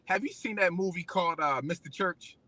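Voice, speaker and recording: A man's voice: high-pitched, fairly quick, noisy background. A man co-hosting a live-stream show, talking in a laid-back, slangy way and laughing easily. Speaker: co-host. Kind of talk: casual live-stream chat.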